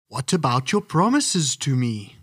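A man voicing an enchanted frog prince in a storybook, earnest and pleading, with a husky voice. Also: medium pitch, sing-song, a little slow, a bit bright, no room sound, studio quality, voice pushed up, full character voice.

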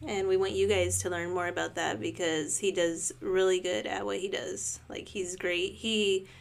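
A woman's voice: on the low side, flat delivery, normal speed, creaky. A young woman hosting a podcast, upbeat and chatty, peppering her speech with "like". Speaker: podcast host. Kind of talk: casual podcast chat.

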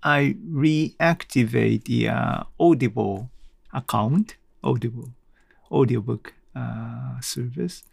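A man's voice: medium pitch, slow, gravelly, breathy edge, dry recording. A man hosting a casual solo podcast in an audio-blog style, speaking hesitantly and searching for his words. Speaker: podcast host.

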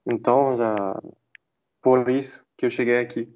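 A man with a French accent, talking in a language he learned as an adult. He is mid-pitched and halting, full of fillers and restarts. His voice sounds dull and lo-fi, as if recorded over a call. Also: fairly even intonation, a little mellow. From France. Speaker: guest. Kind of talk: casual interview chat.

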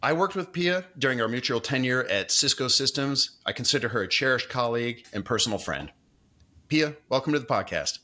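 Bright-voiced male podcast host. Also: medium pitch, lively intonation, fairly quick. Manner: friendly, conversational with "you know". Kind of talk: interview hosting, scripted intro.

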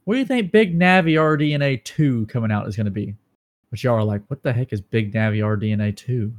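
A man co-hosting a casual gaming news podcast, talking excitedly about PC hardware and joking with his co-host. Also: medium pitch, quick pace. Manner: excitable, breaks into dramatic movie-quote impressions.

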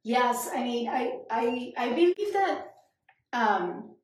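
A woman in her forties with a Colombian accent speaks in a slightly high voice as a thoughtful interview guest, often restarting her sentences. The room is very echoey and her voice bounces back strongly. She sounds reflective and conversational. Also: lively intonation, slow.

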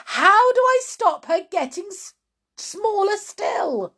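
A woman's voice: very high-pitched, very animated, fairly bright tone, voice pushed up, heavily acted. A woman voicing an overwrought mother from a children's storybook, wailing and drawing out her words in distress. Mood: panicked wailing. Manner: wailing, crying out, drawn-out words.

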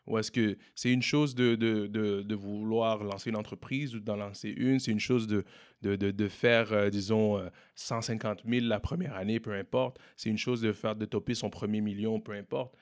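A young man from Montreal with a Québécois French accent, answering interview questions in a relaxed way, often repeating and restarting words. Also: fairly low pitch, moderate pace. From Montreal, Quebec. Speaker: entrepreneur guest. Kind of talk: casual interview conversation.

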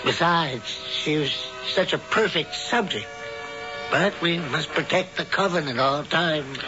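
A coldly polite schemer, played by a man, speaks in a smooth, slightly high voice. He plots murder as calmly as an errand in an old radio drama. The sound is dull and vintage, with music clearly underneath. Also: unhurried, lightly acted. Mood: scheming. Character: sinister middle-aged man, calm coven plotter. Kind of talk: 1970s radio drama acting.

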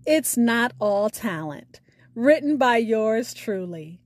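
Slow, higher-pitched woman podcast host. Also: expressive, darkish tone, no room sound, studio quality. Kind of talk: scripted podcast outro with spoken poetry. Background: music.

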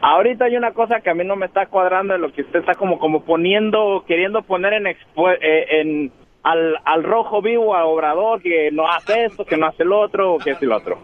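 A man calling in to a radio show by phone, giving opinions casually in a halting, rambling, repetitive way. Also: sits high. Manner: halting, rambling, repeats himself. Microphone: phone call. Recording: tinny, noisy phone line.

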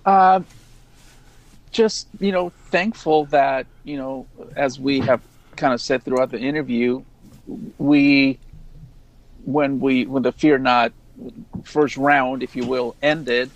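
A man speaking as an interview guest, reflective and earnest, in casual, halting sentences. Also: mid-range voice, steady tone, no room sound, rough recording.

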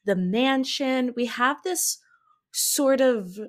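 A woman's voice: high voice, takes it slowly. A woman co-hosting a casual podcast chat, often chuckling as she talks. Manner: giggly, chuckles while talking. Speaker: podcast co-host discussing anime.

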